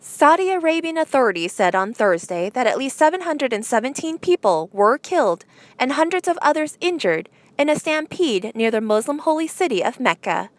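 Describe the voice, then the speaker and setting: High-pitched, lively woman news presenter. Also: no echo. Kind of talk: scripted news headline reading.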